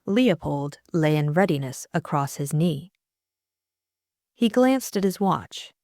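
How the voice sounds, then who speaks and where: A woman's voice: sits low, expressive, slow pace, a little mellow, no room sound, studio quality. A woman reading a novel aloud as scripted audiobook narration.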